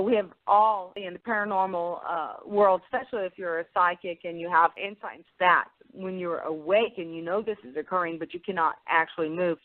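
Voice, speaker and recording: A woman's voice: lowish voice, no echo. A woman co-hosting an interview, explaining things in a conversational way. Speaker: podcast co-host. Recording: thin phone-like line, very dry.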